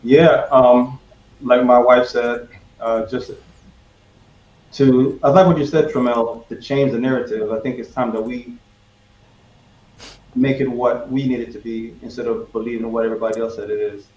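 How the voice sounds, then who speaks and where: A man who is a guest on a talk show, sincere and measured as he speaks. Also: medium pitch, fairly even intonation, a little mellow. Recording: dull echoey room audio, faint noise.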